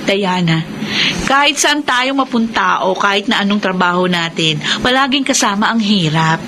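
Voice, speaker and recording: A woman voicing a responsible young eldest sister in a Filipino radio drama, earnest and gently scolding. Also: mid-range voice, lively intonation, normal speed, close to an everyday voice. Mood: gentle advising. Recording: noisy background.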